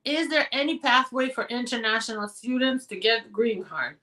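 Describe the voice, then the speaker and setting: A woman immigration attorney hosting a live question-and-answer show, reading viewer questions and answering them directly while her voice is going hoarse. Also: on the high side, pushed and bright, distant mic. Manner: direct and advising, with quick practical answers.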